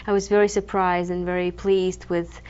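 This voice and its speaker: A woman's voice: lowish voice, level tone, normal speed, a little mellow, smooth. A young woman from Croatia, a classical guitarist, answering interview questions thoughtfully with frequent ums and restarts. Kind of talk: interview conversation. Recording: dull lo-fi TV recording.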